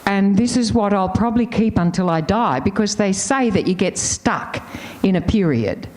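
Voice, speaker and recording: A woman's voice: mid-range voice, lively intonation, moderate pace, darkish tone. An older woman with an Australian accent, telling candid, laughing stories as a panelist. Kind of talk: casual storytelling on a panel.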